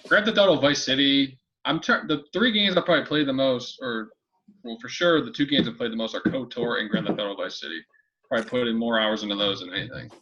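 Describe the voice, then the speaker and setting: A man's voice: medium pitch, quick pace, a bit bright, lo-fi sound. A man co-hosting a casual podcast, talking emphatically and repeating his points. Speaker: podcast co-host. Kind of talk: casual chat among friends.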